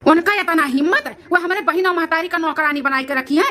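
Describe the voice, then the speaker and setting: Someone voicing a high, rapid, hot-headed Awadhi son. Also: pushed and bright. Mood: indignant. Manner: indignant, blustering. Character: hot-headed, blustering adult son, protective of his mother. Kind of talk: Awadhi comedy cartoon dialogue.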